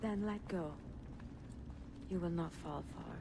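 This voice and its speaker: A woman playing a cultured, curious and gentle Italian traveling companion in a video game, with an Italian accent and a gently wry manner. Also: on the low side, takes it slowly, creaky, noisy background. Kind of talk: dramatic video game acting.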